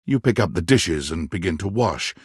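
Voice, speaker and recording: A man narrating a romantic comedy video game with measured, dramatic storytelling and deliberate pauses. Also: low-pitched, lively intonation, breathy edge, no echo, clean studio sound. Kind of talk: scripted second-person narration of a dating game.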